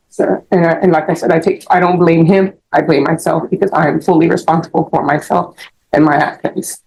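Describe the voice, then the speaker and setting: A middle-aged American woman giving testimony as a defendant in court, rambling and halting as she repeats herself. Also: deep voice, vocal fry, darkish tone, slight background noise, low-quality recording. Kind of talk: spoken testimony answering questions.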